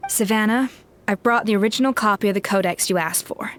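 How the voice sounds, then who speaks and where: A woman's voice: medium pitch, brisk, a little hiss or hum, close to an everyday voice. Actress as an earnest young woman companion. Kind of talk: video game voice acting.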